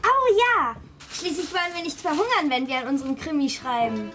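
Woman as a high-voiced, quick-witted young girl. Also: loud music behind the voice, lightly acted. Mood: eager. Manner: direct, probing, matter-of-fact. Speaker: actor in a children's radio play. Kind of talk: German children's radio drama dialogue.

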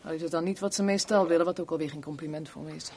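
A woman's voice: low voice, steady tone, racing, darkish tone, slightly rough. Worldly, sardonic woman in radio drama. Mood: wry, bored. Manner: wry, teasing, blunt. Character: worldly, sardonic kept woman, bored and frank. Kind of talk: 1970s Dutch radio play acting.

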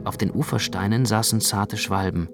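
A grave, observant storyteller in a literary radio play. His fairly low voice narrates in a measured, precise way, with clear music behind it. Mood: grave narration. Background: prominent music.

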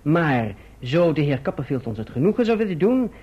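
An actor playing an earnest young gentleman in love in a radio play, with a slightly husky voice, on a dull recording. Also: a little high, big pitch swings, fairly quick. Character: earnest, anxious young gentleman in love, narrating his story. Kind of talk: radio play acting and narration.

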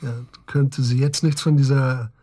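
A husky, wry, prodding policeman. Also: mid-range voice, flat delivery, measured pace, mellow. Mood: probing. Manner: inquisitive, prodding, familiar. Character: probing, wry male police officer, the surgeon's old friend. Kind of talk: naturalistic German radio drama dialogue.

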